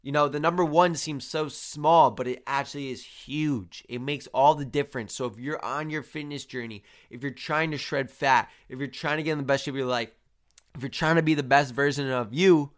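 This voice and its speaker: A man's voice: mid-range voice, normal speed. A congested, slightly tired man with a nasal voice, hosting a motivational fitness podcast in an earnest, repetitive pep-talk manner. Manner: earnest pep talk, repetitive emphasis, often addressing listeners as guys. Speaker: host and fitness coach.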